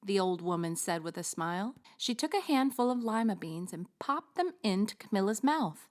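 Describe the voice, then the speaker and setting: A woman teacher reading a children's storybook aloud in an expressive narrating style. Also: medium pitch, moderate pace.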